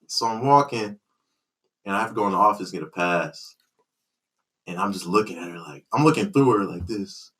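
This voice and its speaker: A young man hosting a podcast, telling stories in lively, slangy casual talk. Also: fairly low pitch, brisk, long pauses. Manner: lively slangy storyteller, laughs often. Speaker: podcast host. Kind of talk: casual chat.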